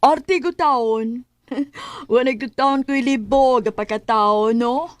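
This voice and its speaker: An actress playing a gentle young single mother in love in a radio drama, with a Filipino Bisaya accent. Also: on the high side, takes it slowly, no room sound. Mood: coy, hesitant.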